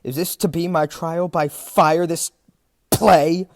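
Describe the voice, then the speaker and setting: An actor playing a proud, hot-tempered captain, declaiming in a theatrical period audio drama style. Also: male voice, fairly high pitch, steady tone, takes it slowly, noisy background. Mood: furious. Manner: declamatory, indignant.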